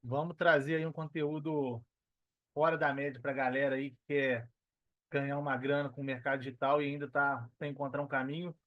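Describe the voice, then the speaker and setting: A man's voice: mid-range voice, level tone, a little fast, dry recording. A man in his thirties with a Minas Gerais Brazilian accent, talking casually as an interview guest. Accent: Brazilian Portuguese, Minas Gerais. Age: 30s. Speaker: podcast guest, personal trainer and affiliate marketer. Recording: dull, lo-fi, dry audio.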